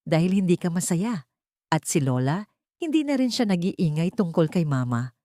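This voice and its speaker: A woman's voice: fairly low pitch, big pitch swings, normal speed, warm tone, no room sound, clean studio sound. A woman voicing an innocent, loving little girl who speaks earnestly in an audio story. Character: innocent, loving little daughter, recovering from illness.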